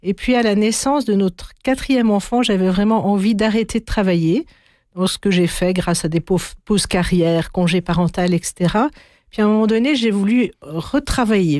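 A woman's voice: medium pitch, no room sound, clean studio sound. Hesitant middle-aged woman, interview guest. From Belgium. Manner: warm, hesitant, many fillers. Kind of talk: interview answers.

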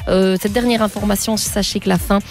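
A Belgian French woman presents a radio traffic bulletin in a clear, informative delivery. Her voice is mid-pitched. Faint music plays underneath. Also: fairly even intonation, a little fast. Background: soft bulletin music.

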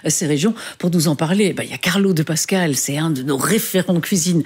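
A woman's voice: deep voice, a little fast, a little mellow, breathy edge. A woman presenting and interviewing on a live morning radio show. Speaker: radio host.

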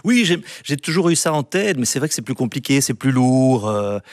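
A man guest talking about his documentary work, hesitant, with many restarts and a voice that trails off softly. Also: mid-range voice, sing-song, normal speed, dry recording, studio quality. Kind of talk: casual interview answers.

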